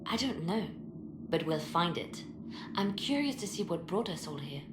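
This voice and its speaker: A guarded spymaster, low voice. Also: female voice, sing-song, normal speed, very noisy. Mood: earnest, hushed. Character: guarded, ruthless female spymaster of a religious order. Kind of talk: dramatic fantasy game acting. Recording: roomy echo under background music.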